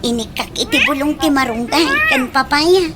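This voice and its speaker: A woman acting a caring, coaxing village woman in an Ilocano comedy radio drama, with an expressive delivery and an Ilocano accent. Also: sits high, normal speed, heavy background noise. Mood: caring, cheerful.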